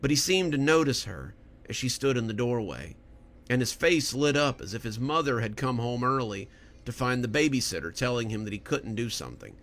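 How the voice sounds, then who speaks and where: Expressive man hosting horror stories. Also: medium pitch, brisk. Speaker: horror story narrator and channel host. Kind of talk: scripted horror storytelling narration.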